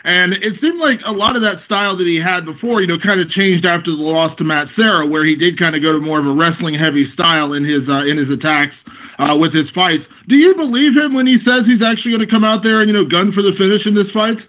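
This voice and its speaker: Male journalist on a press call, high-set voice, thin telephone audio, conversational questioning. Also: fast talker. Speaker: sports journalist. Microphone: phone. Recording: tinny narrowband phone line.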